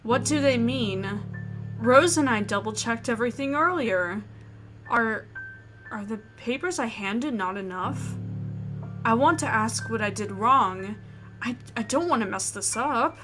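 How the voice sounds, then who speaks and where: A woman's voice: a little high, lively intonation, measured pace, fairly bright tone. A woman gaming host expressively reading a visual novel's first-person narration aloud and reacting casually. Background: music.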